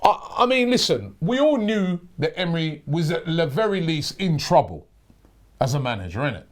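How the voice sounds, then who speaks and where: A man's voice: fairly high pitch, very animated, moderate pace. A middle-aged man hosting a fan talk show, ranting in frustration and often restarting his sentences. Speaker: host.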